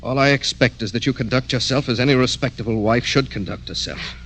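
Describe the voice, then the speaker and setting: An actor playing a proud, embittered doctor in 1950s radio drama, stiff, formal and curt. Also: male voice, medium pitch, fast talker, fairly bright tone, a little hiss or hum, natural voice, poor audio. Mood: stern, pleading. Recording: old radio drama, faint music behind.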